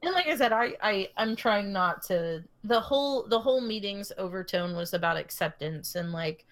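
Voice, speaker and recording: A woman's voice: mid-range voice, lively intonation, moderate pace. A young woman co-hosting a podcast, casually telling a long story full of fillers and restarts, often laughing. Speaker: podcast co-host. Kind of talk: casual chat.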